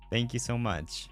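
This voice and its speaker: A man's voice: medium pitch, a bit bright, husky. A man who streams as a virtual character, chatting casually to live viewers in a rambling, reflective way and often repeating his own phrases. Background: background music.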